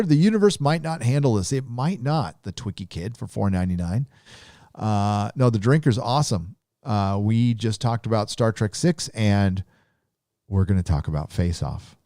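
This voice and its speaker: A Southern Californian man hosting a live stream, talking in a loose, rambling drawl with lots of fillers. His voice sits at an ordinary middle pitch for a man. The sound is dry and close, with no room echo. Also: warm tone. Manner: rambling, laid-back drawl with many fillers. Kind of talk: casual live chat reading donations.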